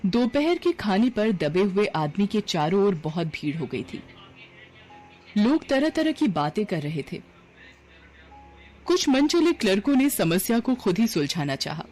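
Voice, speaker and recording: A woman narrating a scripted story in an expressive storytelling manner. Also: mid-range voice, unhurried, soft background music.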